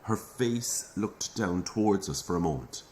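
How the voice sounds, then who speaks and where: Earnest irish parish priest, deep voice, devout and a bit defensive, radio play. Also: male voice, lively intonation, measured pace, a little mellow. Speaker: actor in audio drama. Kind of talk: naturalistic audio drama acting.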